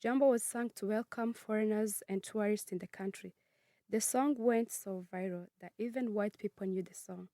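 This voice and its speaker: A nineteen-year-old woman from Congo who grew up in Kenya, reading her personal narrative in a measured, earnest way. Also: mid-range voice, steady tone, mellow, no room sound, clean studio sound. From Congo, raised in Kenya. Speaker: student narrator.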